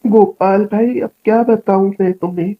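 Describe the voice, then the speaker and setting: A woman's voice: medium pitch, steady tone, normal speed, close to an everyday voice. Woman acting an earnest poor farmer, slightly dull audio. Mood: worried. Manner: earnest, pleading. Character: poor, honest, worried, grateful male village farmer. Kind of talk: Hindi cartoon story acting.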